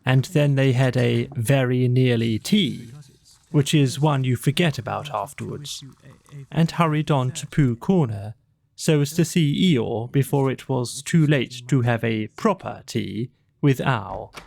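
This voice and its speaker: A man narrating a children's bedtime story from a script in a measured, gentle way. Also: medium pitch, vocal fry. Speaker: audiobook narrator.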